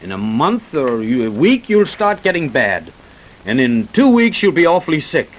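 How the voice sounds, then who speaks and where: Scheming radio-play doctor, animated pitch. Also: male voice, low-quality recording. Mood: impatient, insistent. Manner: persuasive, brisk, self-assured. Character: scheming, smooth-talking, greedy doctor plotting fraud. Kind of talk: old-time radio drama acting. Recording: vintage radio broadcast recording.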